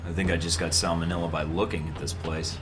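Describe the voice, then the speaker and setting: An actor playing a cocky young American undercover agent in a video game, with a dry, flippant manner. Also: male voice, flat delivery, fast talker, lightly acted. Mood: dry, focused. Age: young adult. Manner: dry, flippant, low-key. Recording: roomy sound under clear music.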